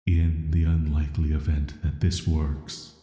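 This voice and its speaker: Man voicing very deep, slow immortal villain. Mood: grave, careful. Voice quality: very deep, soft, atmospheric. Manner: soft, flat, measured, hesitant pauses. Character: ancient banished male villain, immortal cursed being, calm and flirty. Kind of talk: slow scripted roleplay audio drama monologue. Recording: echoey, long cavernous reverb.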